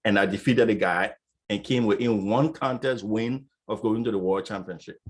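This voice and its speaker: A man's voice: lowish voice, moderate pace. A man, a business coach and public speaker, telling his own story at an event, stuttering now and then with repeated sounds. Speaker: attendee sharing his experience. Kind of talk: personal story at an online event.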